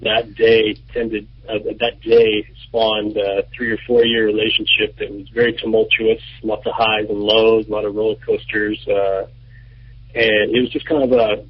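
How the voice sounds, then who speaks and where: A man in his thirties, a band member and producer, answering radio interview questions in a relaxed, rambling way with many fillers. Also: on the low side, moderate pace, husky. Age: 30s. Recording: muffled lo-fi radio recording.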